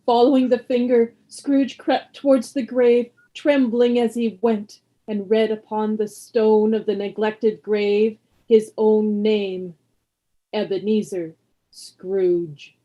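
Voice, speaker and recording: A woman narrating a ghost tale in a radio play, with measured, dramatic storytelling. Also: mid-range voice, little pitch movement, warm tone, muffled recording, close to an everyday voice. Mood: grave, suspenseful.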